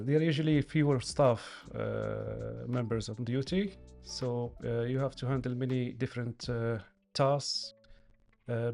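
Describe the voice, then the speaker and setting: A man in his thirties with a Moroccan accent talks calmly as a podcast guest in a small studio. His mid-pitched voice has a gravelly edge, and he pauses often to gather his thoughts. Faint music plays underneath. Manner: calm, measured, many hesitations. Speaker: podcast guest, hotel duty manager. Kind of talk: casual interview chat. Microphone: studio mic on boom arm, headphones.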